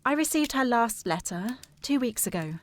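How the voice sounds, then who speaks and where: Woman acting high-voiced, proper, anxious gentlewoman. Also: lively intonation, moderate pace, natural voice. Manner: formal, earnest, insistent. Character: proper, anxious, insistent gentlewoman seeking her sister. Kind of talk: period audio drama acting.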